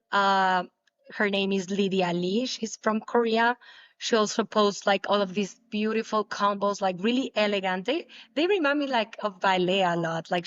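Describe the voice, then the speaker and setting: A young woman whose native language is Spanish, answering interview questions casually, with many halting restarts. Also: mid-range voice, fairly bright tone, no echo, muffled recording. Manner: halting, repeats words, laughs often. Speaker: guest, pole dancer.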